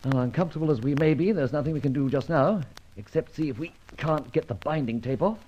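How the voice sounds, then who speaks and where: An actor playing a cool, wily detective with a breezy, cheeky delivery in an old-time radio drama. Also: male voice, a little high, fast talker, warm tone, lo-fi sound, close to an everyday voice. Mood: wry, composed. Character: cool, wily male detective bluffing crooks. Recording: noisy vintage radio recording with clicks.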